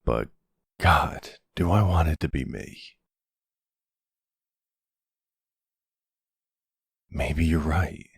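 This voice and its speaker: Guy doing a smooth talking older mafia boss, super low husky voice, slow and teasing, asmr roleplay. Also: animated, dry recording, clean studio sound, exaggerated voice. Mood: tender.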